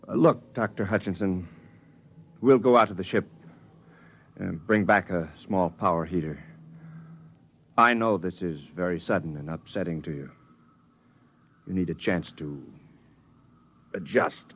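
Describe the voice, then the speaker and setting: An actor playing a brisk, matter-of-fact male rescuer from a distant colony in a 1950s radio drama. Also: sits low, a bit bright, slight background noise. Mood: earnest. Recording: dull old radio broadcast recording.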